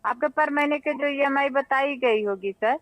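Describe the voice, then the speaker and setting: A woman's voice: high-pitched, normal speed, dry recording. A woman with an Indian accent working as a call centre agent, politely explaining figures on a recorded phone call, often repeating herself and checking understanding.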